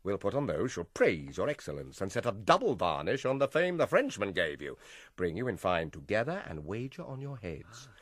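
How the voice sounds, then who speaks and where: A man's voice: fairly low pitch, very animated, dry recording. Scheming, manipulative middle-aged king: hugely varied intonation, close dry sound, theatrical Shakespearean radio drama. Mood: conspiratorial. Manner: theatrical, deliberate, persuasive delivery with dramatic swells.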